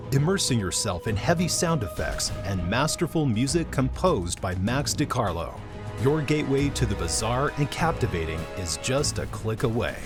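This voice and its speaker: A man reading a scripted promotional spot as a dramatic announcer. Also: mid-range voice. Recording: prominent music behind the voice.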